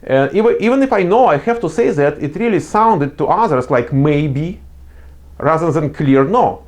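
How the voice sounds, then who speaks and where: A man's voice: on the high side, lively intonation, normal speed, darkish tone. A man with a non-native accent gives earnest, explanatory job interview advice, with frequent fillers and restarts. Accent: non-native English. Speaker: career advice presenter. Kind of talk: instructional talk to camera.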